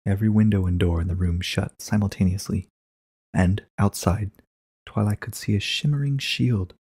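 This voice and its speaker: A man's voice: fairly low pitch, moderate pace, darkish tone, breathy edge. A man narrating a short story as a scripted dramatic reading.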